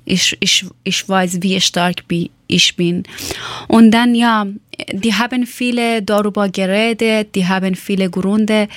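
A woman from Iran, speaking German as a learned language, tells her story haltingly and searches for words with many fillers. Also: mid-range voice, no room sound, studio quality. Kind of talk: personal account.

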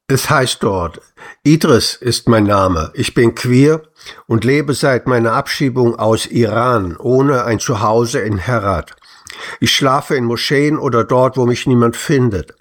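A man reading written testimonies aloud in a slow, measured, grave manner. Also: medium pitch. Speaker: reader. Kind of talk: solemn read-aloud of testimonies.

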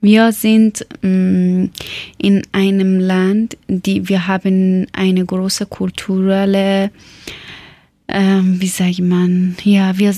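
A young woman from Herat with a Dari Persian accent, speaking German as a second language and telling about her languages and country in a halting way, with many fillers and self-corrections. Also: medium pitch, warm tone, no room sound. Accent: Afghan Persian (Dari). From Afghanistan, Herat. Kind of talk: casual personal account.

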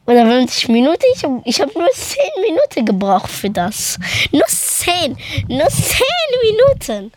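A young female speaker with a fairly high voice talks eagerly in learner German, often repeating words and slipping in English ones. The sound is dry and close to the mic. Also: wildly swooping pitch, measured pace, a little mellow, no room sound. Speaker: interviewee, schoolchild. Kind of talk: casual conversation in learner German.

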